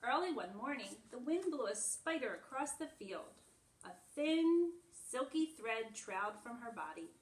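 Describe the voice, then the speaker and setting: High-voiced woman teaching in an echoey room. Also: big pitch swings, a little slow. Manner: simple, explanatory, demonstrative phrasing for small children. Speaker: preschool teacher. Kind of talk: simple lesson and read-aloud storytelling for young children. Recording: echoey room, distant microphone.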